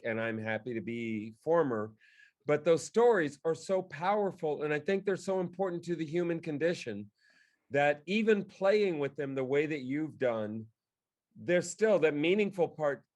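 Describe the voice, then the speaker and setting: A man co-hosting a podcast, chatting casually and effusively with enthusiasm. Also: fairly high pitch. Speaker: podcast co-host, actor. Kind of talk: casual chat.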